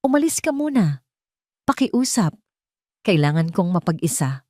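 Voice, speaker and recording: A woman's voice: lowish voice, big pitch swings, measured pace, mellow, no room sound, clean studio sound. A woman voicing a wounded, weary young mother, bitter and guarded yet defiant, in an audio drama. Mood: bitter, pleading. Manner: pained, guarded.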